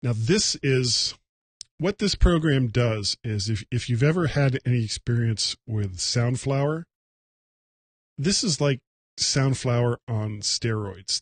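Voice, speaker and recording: A man hosting a casual solo tech livecast, talking in a rambling, halting, self-deprecating way. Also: medium pitch, dry recording.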